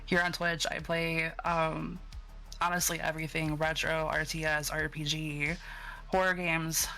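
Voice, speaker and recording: Soft-spoken, gravelly woman streamer. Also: deep voice, flat delivery, unhurried. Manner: soft-spoken and laid-back, laughs easily. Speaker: guest streamer. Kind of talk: casual interview chat. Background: faint background music.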